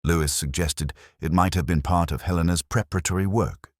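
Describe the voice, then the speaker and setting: A man voicing a reflective, melancholy police detective who narrates a mystery in a measured, literary way. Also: deep voice, darkish tone, dry recording, studio quality, natural voice. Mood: brooding, reflective. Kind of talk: first-person scripted mystery narration.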